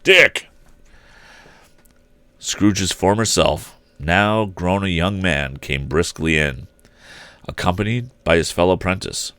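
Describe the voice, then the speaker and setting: A male storyteller reads a tale aloud in a low voice. His delivery is expressive and theatrical, leaning deliberately on phrases, and the sound is dry with little room in it. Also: dry recording. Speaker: storyteller, livestream host.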